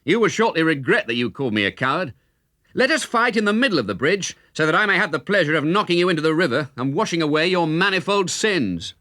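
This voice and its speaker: Man acting young outlaw hero, storybook, spirited and cheeky, high lively pitch, bright, old-fashioned courtly banter. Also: fast, no echo, studio quality. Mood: cocky, defiant.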